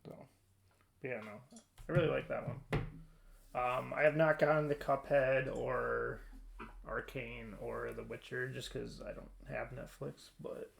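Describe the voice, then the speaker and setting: A man's voice: medium pitch, level tone, measured pace, creaky. A man hosting a casual discussion, informal and thinking aloud as he goes. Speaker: podcast host. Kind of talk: casual discussion of films and shows.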